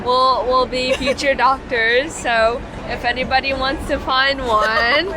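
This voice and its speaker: A woman's voice: high-pitched, fairly even intonation, slow. Bubbly young woman on a noisy street. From Tampa, Florida. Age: young adult. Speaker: interviewee, medical student. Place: busy city street outdoors. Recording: street crowd and traffic noise.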